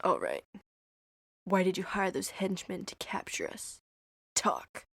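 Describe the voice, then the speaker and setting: A woman voicing a gruff, tough young man who bosses his team around in a curt, commanding way. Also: on the low side, sing-song, takes it slowly, a bit bright, no echo, studio quality, voice pushed down, heavily acted. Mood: interrogating.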